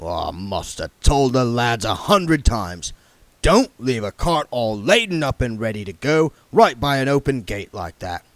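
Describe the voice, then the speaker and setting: A man voicing a gruff, shrewd, wealthy businessman who speaks bluntly with a menacing, folksy edge. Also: mid-range voice, sing-song, a little slow, no room sound. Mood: theatrical.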